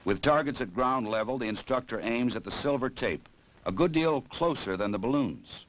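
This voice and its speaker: An American man narrating a 1960s instructional film in a formal, measured, authoritative way, on an old film soundtrack. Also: mid-range voice, expressive, rough recording.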